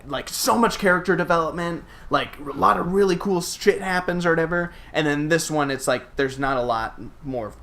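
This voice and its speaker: A man's voice: a little high, normal speed, hardly any pauses, fairly bright tone. A man co-hosting a casual gaming podcast, talking informally and peppering his explanations with filler words like "like". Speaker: podcast co-host.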